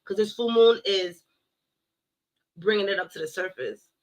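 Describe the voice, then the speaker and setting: A woman giving a casual tarot reading to her viewers, playful and blunt, cursing now and then and slowing down to recite affirmations. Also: medium pitch, rough recording. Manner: playful, blunt, swears, addresses viewers as y'all. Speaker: tarot reader.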